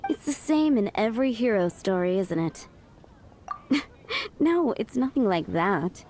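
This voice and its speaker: A woman's voice: medium pitch, lively intonation, a little slow, a little mellow, a little hiss or hum, natural voice. A woman voicing a playful, teasing female tavern boss in a video game, with an idle, joking manner. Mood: wry, musing. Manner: teasing, leisurely. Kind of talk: English video game voice acting. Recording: game music behind the voice.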